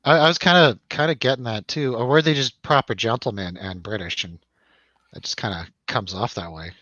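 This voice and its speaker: A man's voice: mid-range voice, brisk, sharp tone, no room sound, lo-fi sound. A man hosting a casual film review chat, rambling and jokey, putting questions to his co-host. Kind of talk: casual chatty film discussion over drinks.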